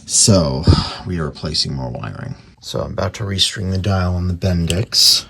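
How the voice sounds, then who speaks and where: Very deep, gravelly man thinking aloud. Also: moderate pace, pushed and bright. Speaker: radio repair hobbyist presenting his work. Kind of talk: casual unscripted repair narration.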